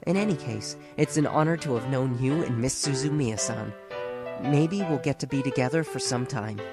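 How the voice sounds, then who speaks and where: A man playing a polite, enigmatic teenage schoolboy in an audio drama, speaking in a courteous, formal, measured way. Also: mid-range voice, close to an everyday voice. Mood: polite, grateful. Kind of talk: scripted audio drama dialogue. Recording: music behind the voice.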